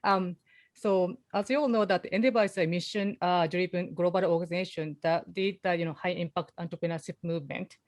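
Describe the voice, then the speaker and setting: A woman with a strong Japanese accent moderates an online panel over a video call, her voice sitting a little low and close on a dry mic. She talks haltingly, leaning on "you know" between phrases. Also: steady tone, no echo. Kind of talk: webinar moderating.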